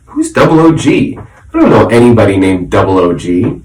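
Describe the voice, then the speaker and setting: A man voicing a nervous, easily frightened male mouse in a storybook, stammering and squeaking. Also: sing-song, moderate pace, darkish tone. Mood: puzzled. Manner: stammering, squeaky when alarmed. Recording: roomy echo, distant microphone.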